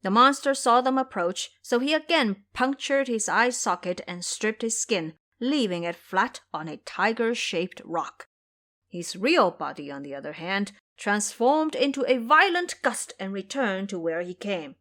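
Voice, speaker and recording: A woman narrates an adventure story in a formal, literary style. Her delivery is dramatic and expressive, with pitch that moves widely. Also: unhurried.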